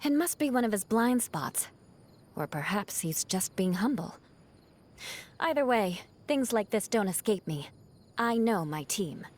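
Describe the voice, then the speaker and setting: Over a music bed comes a proud young female knight from a video game, an outcast noblewoman with a grudge. Her mid-pitched voice swings expressively through haughty, formal phrases and indignant emphasis. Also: very animated, unhurried, a little mellow. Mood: proud, composed. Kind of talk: scripted video game character voice lines. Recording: music behind the voice.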